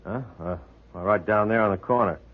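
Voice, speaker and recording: An actor playing a wary American police detective in a 1940s radio drama, blunt, terse and casual. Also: male voice, on the low side, normal speed, natural voice. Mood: wary, needling. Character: gruff, wary male police detective, needling. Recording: tinny, phone-like 1940s radio recording.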